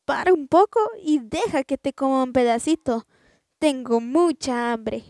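A woman voicing a fat, hungry hen in a storybook, lower and slower than her narration. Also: a little mellow, no room sound, clean studio sound. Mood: coaxing. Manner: low and slow.

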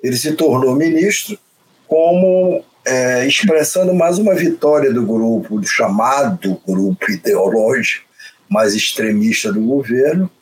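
A man with a Brazilian accent, a panel commentator who speaks skeptically and somewhat haltingly about a new minister. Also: mid-range voice, lively intonation, husky, poor audio. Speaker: political commentator.

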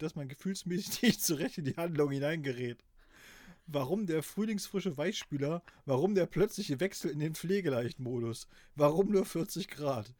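Podcast co-host, middle-aged man from East Germany, dry deadpan reader, fairly high pitch, very dry sound. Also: no room sound. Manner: dry deadpan delivery, laughing at his own reading. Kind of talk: casual podcast chat and mock-serious reading aloud.

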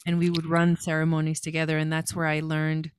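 A young woman speaks in a smooth, resonant voice that sits a little low. She tells her story casually and reflectively, wandering from thought to thought. The sound is very dry and close, with no room around it. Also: completely flat delivery, brisk, no room sound. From Los Angeles area, California. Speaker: guest.

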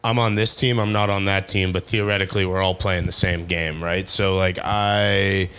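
A man's voice: low-pitched, level tone, normal speed, a bit bright, smooth. A man co-hosting a podcast, explaining his points in a thoughtful, casual way. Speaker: podcast co-host. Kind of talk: casual chat.

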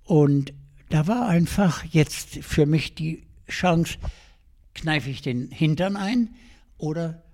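An older man, a guest in an interview, telling his life story in a lively, colloquial way with many fillers and restarts. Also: a little high, slow. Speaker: guest adventurer.

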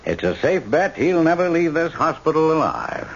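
An actor playing a matter-of-fact, grimly clinical surgeon in an old-time radio drama. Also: male voice, fairly high pitch, huge pitch swings, moderate pace, gravelly, full character voice. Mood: grave, clinical. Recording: muffled, noisy old radio recording.

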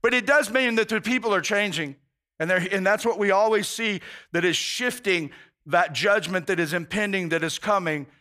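A man's voice: sits high, moderate pace, sharp tone. A male pastor preaching a conversational sermon, earnest and informal, often restarting phrases and saying "man".

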